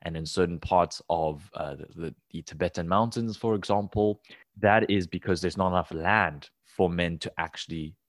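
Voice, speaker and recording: A man's voice: low-pitched, normal speed, no room sound, studio quality. A young man co-hosting a casual podcast, talking in loose rambling sentences with fillers and restarts. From Taiwan. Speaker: podcast co-host.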